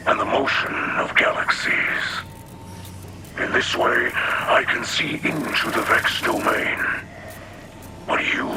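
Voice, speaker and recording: Man voicing a slow, whispery rasping alien mystic. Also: medium pitch, heavy background noise, lightly acted. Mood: reverent, then grave, encouraging. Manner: slow, measured, formal. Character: solemn reverent alien Eliksni sacred splicer guide. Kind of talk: video game cutscene acting. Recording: loud music behind the voice. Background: loud game score.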